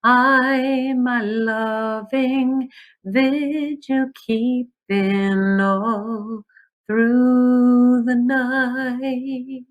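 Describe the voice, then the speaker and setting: An actress plays a gentle woman singing a lullaby carol in a radio play. Her voice is high and very slow. The recording is a little dull, with soft music behind her. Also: steady tone. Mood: tender. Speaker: actor and singer.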